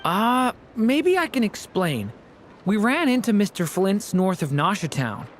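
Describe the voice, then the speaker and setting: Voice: mid-range voice, sing-song, a little slow, a little hiss or hum. A voice actor playing a polite, earnest, boyish young companion in a fantasy video game. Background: music.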